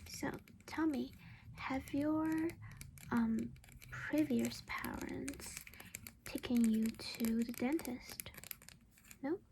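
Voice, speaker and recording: Female ASMR roleplayer as a doting vampire mom: breathy, creaky whisper, highish pitch, gentle reassurance, close mic. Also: very slow, long pauses, slight background noise, poor audio. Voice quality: breathy whisper with soft creaky voiced patches. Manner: gentle, reassuring, coaxing as if to a child. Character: doting vampire mother caring for her human child.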